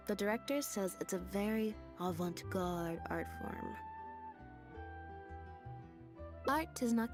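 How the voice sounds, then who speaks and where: An actress voicing a deadpan, understated young female magician's assistant with robot-like habits in a video game. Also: medium pitch, natural voice. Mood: flat, mildly weary. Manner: deadpan, understated, matter-of-fact. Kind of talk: video game character dialogue. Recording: game audio with music behind. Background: background music.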